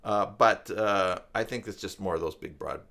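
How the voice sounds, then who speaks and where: A man, chatting casually as a live stream host in a halting, rambling way. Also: deep voice, creaky, sharp tone. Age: 50s. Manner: rambling, halting, conversational, thinking aloud. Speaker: live stream host, web tutorial presenter.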